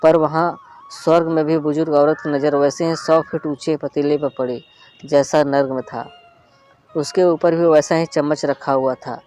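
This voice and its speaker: A man narrating a moral story in an earnest, measured reading. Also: fairly high pitch, little pitch movement, a little mellow. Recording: faint flute music behind.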